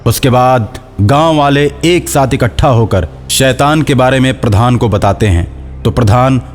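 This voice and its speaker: Male storyteller, medium pitch, scripted story narration, faint background music. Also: unhurried.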